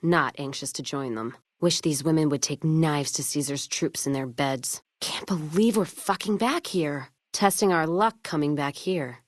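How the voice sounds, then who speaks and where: An American woman playing a jaded, hard-drinking, foul-mouthed caravan trader in a video game, with a gruff, sardonic, folksy manner. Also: deep voice, measured pace, hardly any pauses, no room sound, studio quality. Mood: grim, disgusted, then sardonic, wary. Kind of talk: video game companion voice lines.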